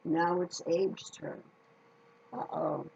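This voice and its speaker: Unhurried woman storyteller with a creaky voice. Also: on the low side, fairly even intonation, a little mellow, low-quality recording. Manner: folksy, deliberate, addresses listeners directly. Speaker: storyteller reading a picture book to children. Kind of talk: animated children's story read-aloud.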